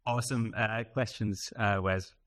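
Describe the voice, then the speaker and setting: A man's voice: on the low side, steady tone, measured pace, fairly bright tone. A man chairing a conference session, briefly moderating questions from the audience.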